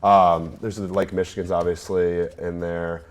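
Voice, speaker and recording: A man's voice: low voice, flat delivery, moderate pace. A young adult man from New York State, a guest on a student talk show, rambling and hesitant, filling gaps with ums and restarts. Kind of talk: casual chat.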